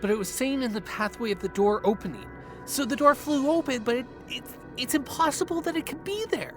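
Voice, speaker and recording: A man's voice: extremely high pitch, lively intonation, fairly quick, over music. A young man acting as a cautious, nervy gamer in a naturalistic audio drama. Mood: panicked.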